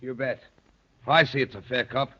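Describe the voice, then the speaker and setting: An actor playing a cheeky, nonchalant exposed police spy with slangy talk, in 1930s radio drama style. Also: male voice, medium pitch, steady tone, moderate pace, pushed and bright. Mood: unabashed. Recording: dull, muffled old 1930s radio recording.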